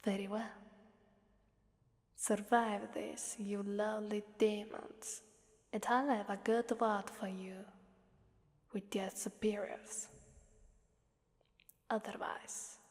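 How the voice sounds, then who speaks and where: A haughty, sadistic demon mistress of a hellish arena, acted by a woman, speaks in a slow, whispery ASMR roleplay voice. She taunts and commands in a soft breath, with long cavernous reverb around her words. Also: medium pitch, level tone. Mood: bored, menacing.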